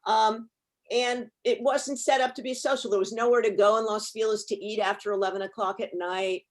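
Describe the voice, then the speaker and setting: A woman recalling her career in conversation on a video call, articulate and measured, with a wryly understated way of putting things. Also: on the high side, fairly even intonation. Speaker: panel guest, actress recalling her television career. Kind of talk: conversational reminiscing on a panel.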